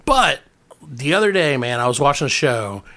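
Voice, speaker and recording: A man's voice: mid-range voice, moderate pace. A man with a Southern American accent co-hosting a comedy podcast, joking and rambling in casual banter. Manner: animated, joking, rambling with restarts. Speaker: podcast co-host.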